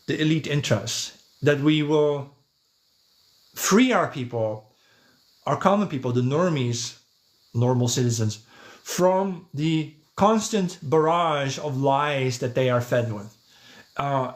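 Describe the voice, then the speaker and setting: Man talking casually on a livestream. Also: mid-range voice. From Netherlands. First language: Dutch. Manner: conversational, thinking aloud, checking with "right?" and "yeah". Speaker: podcast host, livestreamer. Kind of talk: casual livestream monologue, answering chat comments.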